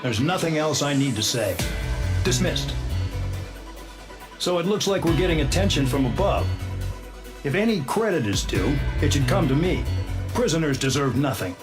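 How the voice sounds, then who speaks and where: A man's voice: a little high, very animated, moderate pace, long pauses, roomy sound, music in the background. A man voicing a curt, contemptuous commander of a prisoner unit in a military video game. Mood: scornful. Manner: curt, scornful, authoritarian. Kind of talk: scripted military briefing, video game voice acting.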